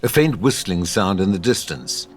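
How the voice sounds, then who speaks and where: A man with a deep voice narrates an audio drama. He reads scripted stage directions, with music playing behind him. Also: sing-song, moderate pace.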